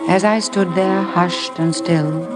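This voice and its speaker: An actress playing a devoted, emotional young wife in a 1950 radio drama. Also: female voice, fairly low pitch, fairly even intonation, takes it slowly, over loud music. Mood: wistful, hushed.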